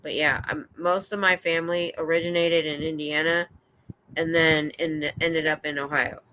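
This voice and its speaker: An older American woman hosting a livestream, chatting in a rambling, excitable way. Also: low-pitched, moderate pace, slight background noise, low-quality recording. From United States. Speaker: livestream host. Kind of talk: casual chat. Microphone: streaming setup.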